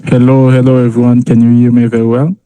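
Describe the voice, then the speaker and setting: A man from Haiti, a master's student, introducing himself on a video call in a measured way. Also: medium pitch, completely flat delivery, warm tone, clear, resonant tone, low-quality recording.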